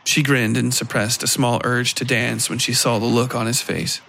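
A man reading scripted dark fiction aloud as its narrator. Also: mid-range voice, level tone, normal speed, vocal fry, a little hiss or hum, rough recording.

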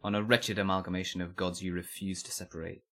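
A man's voice: low-pitched, level tone, fast talker, a bit bright. A man playing a defiant, zealous nineteen-year-old blacksmith's apprentice in an audio drama, answering an interrogation in a terse, bitterly sardonic manner. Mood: cold, defiant.

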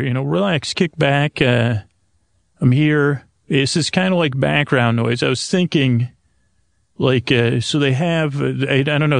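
A man with a creaky, gravelly voice talks listeners toward sleep as a podcast host. He wanders haltingly from tangent to tangent in a soothing, lulling way. The sound is dry and close, with no room around it. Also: mid-range voice, no room sound. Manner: meandering, halting, hesitant, tangent-prone. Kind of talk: rambling bedtime sleep podcast monologue.

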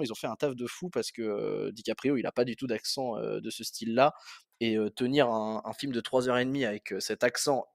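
A man's voice: medium pitch, no room sound, clean studio sound. A young man hosting a podcast, reviewing a film in a casual, rambling way with many fillers and restarts. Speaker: podcast host.

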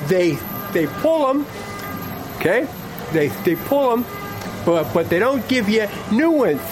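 Slow, lively-voiced man over street music. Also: high voice, low-quality recording. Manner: chuckling, repeats "okay". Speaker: interviewee. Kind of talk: street interview. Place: city street outdoors.

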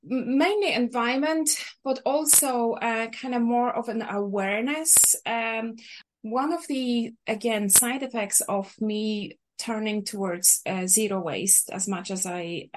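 Polish lady in an interview, slow thoughtful answers, lots of uhs. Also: a little high, slight background noise, rough recording. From Poland. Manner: thoughtful, hesitant, many uhs. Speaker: guest, sewing teacher.

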